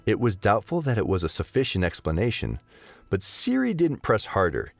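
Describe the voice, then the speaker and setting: A man's voice: fairly low pitch, wildly swooping pitch, moderate pace, vocal fry, no room sound, soft background music. A man narrating a scripted story as the narrator of an audio drama.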